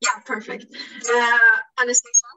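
Bright-voiced Russian-native woman, distant mic. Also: a little high, little pitch movement, normal speed. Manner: fluent, some fillers. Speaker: language coach and summit organizer. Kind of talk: self-introduction on a video call. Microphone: video call.

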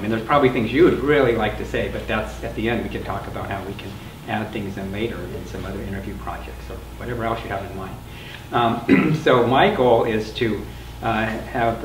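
Low-voiced older man in a roomy recording. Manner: conversational, explanatory. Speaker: interviewer and moderator of an oral history panel. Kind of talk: informal spoken introduction to a panel. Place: meeting room with a small audience. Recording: roomy, distant mic with clear background noise.

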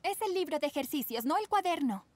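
A woman playing an earnest, sentimental teenage girl in an animated cartoon dub. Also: sits high, a little fast, slight background noise. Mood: worried. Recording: music behind the voice.

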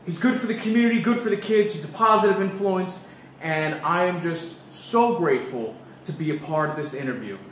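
A man's voice: high-pitched, fairly quick. A man in his thirties rambling to camera in a mock interview, with earnest, self-important, hyped tough talk. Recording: noisy, echoey, distant camera microphone.

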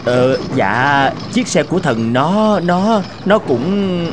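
A man's voice: a little high, slow pace, background noise. Humble, hesitant cartoon coachman. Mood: nervous, hesitant. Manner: respectful, hesitant. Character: humble, deferential royal coachman, anxious to please. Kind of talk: cartoon fairy-tale voice acting.

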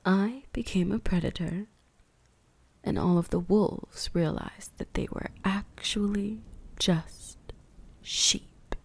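A woman's voice: medium pitch, slow, exaggerated voice. A woman playing an ancient, predatory, seductive vampire huntress in an intimate ASMR roleplay, whispery, teasing and condescending. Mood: haughty, menacing. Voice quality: breathy, whispery.

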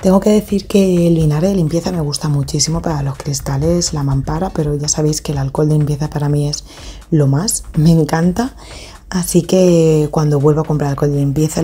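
Woman, 30s, low mellow voice, chatty cleaning-vlog voice-over, loose with restarts. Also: a little hiss or hum. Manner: chatty, detailed, with frequent restarts and fillers. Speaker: home cleaning vlogger. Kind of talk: casual voice-over narration of a clean-with-me video.

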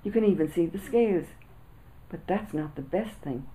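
A woman's voice: lowish voice, expressive, normal speed, warm tone, a little hiss or hum, natural voice, low-quality recording. A woman voicing an easygoing, grinning roly-poly boy in a storybook reading, speaking with confident, knowing ease.

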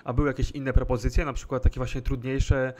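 Flat-voiced male interviewer on a call. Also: medium pitch, a little fast, a little hiss or hum. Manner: long winding questions with hesitations. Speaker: sports journalist, interviewer. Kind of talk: interview questions by video call. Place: indoor room at home. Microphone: video call.

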